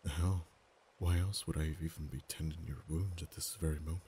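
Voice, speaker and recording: A man voicing an ancient, proud, possessive male dragon in an ASMR roleplay, speaking softly and slowly, sometimes in whispers. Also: steady tone, slight background noise. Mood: musing. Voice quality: very deep, soft-spoken. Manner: slow, measured, drops to whispers.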